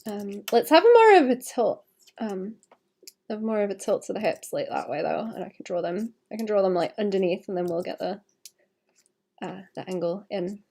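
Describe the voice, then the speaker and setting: Brief: young female streamer, gravelly and husky from a cough, easygoing and rambling. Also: medium pitch, very animated, moderate pace. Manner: chatty, self-correcting, many "like"s and soft laughs. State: hoarse, suppressing a cough. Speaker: digital artist streaming a commission. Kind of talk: casual thinking-aloud commentary while sketching.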